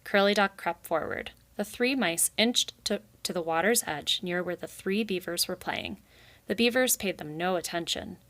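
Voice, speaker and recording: A woman's voice: lowish voice, normal speed, a bit bright. A woman narrating a children's story as she reads it aloud. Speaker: reader.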